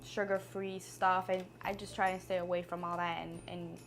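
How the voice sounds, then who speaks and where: A woman's voice: lowish voice, flat delivery, normal speed, soft background music. Earnest woman giving wellness advice. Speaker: wellness vlogger. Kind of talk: casual talk to camera.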